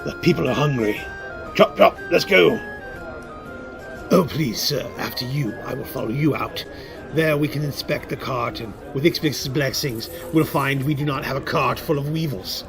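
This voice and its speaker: A man's voice: medium pitch, moderate pace, vocal fry, over music, natural voice. Man playing a flamboyant, grandiose goblin innkeeper. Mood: eager, grand. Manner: florid, theatrical, formal courtesy. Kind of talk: fantasy radio drama acting.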